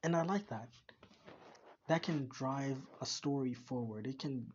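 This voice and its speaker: A man talks through his thoughts in a middle-pitched voice, often restarting and repeating himself. The recording is slightly dull, with a faint hiss underneath. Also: measured pace. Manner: thinking aloud, repeats and restarts. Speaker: reaction video host. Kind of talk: casual commentary.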